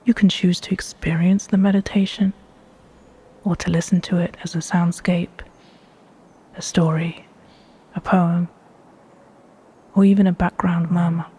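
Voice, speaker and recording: A woman with a hushed, breathy voice guiding a meditation in measured, deliberate phrases with pauses between them. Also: lowish voice, flat delivery. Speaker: meditation guide. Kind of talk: slow guided meditation narration.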